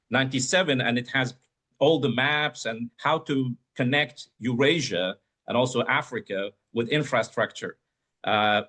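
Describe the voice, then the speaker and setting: Iraqi-born guy on a panel, stops and starts a lot, mid pitch. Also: fairly bright tone. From Iraq. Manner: halting, hesitant phrasing. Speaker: panelist, geopolitical strategist. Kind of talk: panel discussion.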